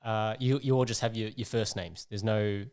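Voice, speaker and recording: A man's voice: fairly low pitch, fairly even intonation, normal speed, a little mellow, clear, resonant tone. A man hosting a casual podcast interview, talking in a rambling way, repeating words and digressing. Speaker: podcast host.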